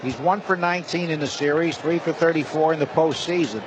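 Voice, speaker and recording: Male baseball play-by-play announcer, higher-pitched, clipped descriptive delivery, noisy live stadium broadcast. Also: a little fast. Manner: clipped, descriptive short phrases. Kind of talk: live radio play-by-play commentary. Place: ballpark broadcast booth. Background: clear stadium ambience.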